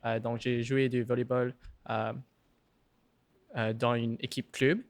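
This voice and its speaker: A young man, a native English speaker, speaking French in a casual interview, halting and slipping English words into his sentences. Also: medium pitch, level tone, no room sound. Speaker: podcast guest.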